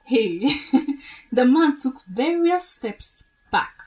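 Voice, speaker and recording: An actress plays a bride-to-be gossiping with friends in an audio drama. She has a fairly high voice and takes her time, chattily dotting the story with interjections. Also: big pitch swings, extremely slow, a little mellow, exaggerated voice. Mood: amused gossip.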